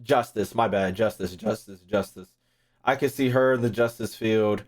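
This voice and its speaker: A man's voice: fairly low pitch, normal speed, slight background noise. Rambling, foul-mouthed male streamer thinking aloud. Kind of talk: casual livestream chat, thinking aloud.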